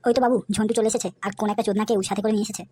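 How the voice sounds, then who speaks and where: Woman voicing an eager, fast-talking cartoon henchman. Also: medium pitch, faint music behind, close to an everyday voice. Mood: fawning. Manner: flattering, crude, egging the boss on. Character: eager, sycophantic young henchman of a local boss. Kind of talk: comedy cartoon dialogue.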